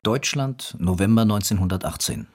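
A man narrating a scripted radio documentary in a husky voice. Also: fairly low pitch, moderate pace, darkish tone.

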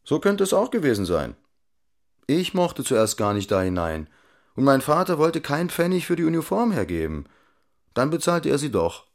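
A man's voice: fairly high pitch, very animated, normal speed. An actor playing a defensive grown man recalling his boyhood in a conversational radio drama voice. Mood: reflective.

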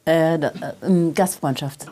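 A middle-aged woman being interviewed, telling personal anecdotes in a casual, animated and emphatic way. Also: deep voice, moderate pace. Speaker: interview guest, journalist.

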